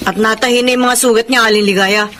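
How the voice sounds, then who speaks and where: An actress playing a young woman who calmly reassures a worried mother in a radio drama. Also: fairly high pitch, fast, slight background noise, lightly acted. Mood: reassuring.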